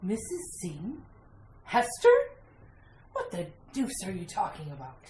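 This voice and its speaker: A woman's voice: lowish voice, huge pitch swings, unhurried, a little mellow. A woman playing a flustered, exasperated sheriff in an old-time radio drama, blustering and exclaiming. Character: flustered, gruffly exasperated small-town sheriff. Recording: echoey room with faint noise.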